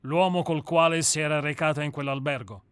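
A man reading the part of a celebrated, urbane male novelist in a radio play, courteous and measured. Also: on the high side, fairly even intonation, clear, resonant tone, dry recording, studio quality, lightly acted. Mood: gracious.

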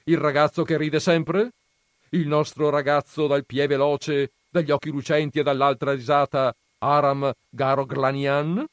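Male reader as elderly patriarch, booming and commanding, dry close recording. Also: level tone, measured pace, no echo, close to an everyday voice. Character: stern old Armenian grandfather, patriarch.

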